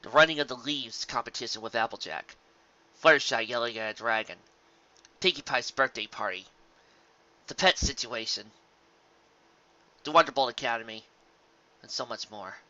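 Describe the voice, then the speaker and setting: A man reading a fan fiction story aloud as narrator, in an expressive, dramatic scripted style. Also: medium pitch, moderate pace, long pauses, no echo, highs cut off.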